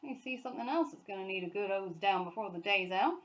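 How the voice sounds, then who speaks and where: A woman's voice: medium pitch, expressive, fast talker, close to an everyday voice. A woman voicing a dour, disapproving male factory gatekeeper in a storybook, grumbling colloquially.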